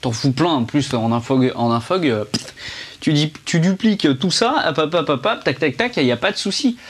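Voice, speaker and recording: A young man rambles through a casual film review, his voice sitting somewhat high for a man. He talks in a chatty, loose way, full of fillers and restarts, as he speaks to a camera indoors under a ring light. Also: lively intonation, moderate pace, slightly rough.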